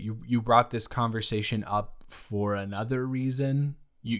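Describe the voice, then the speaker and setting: A man acts out a young male roommate in an intimate improvised audio drama. He is jokey but nervous, talking in a natural mid-pitched voice with hesitant stammers and rambling half-finished sentences. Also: close to an everyday voice. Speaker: roommate character in audio roleplay.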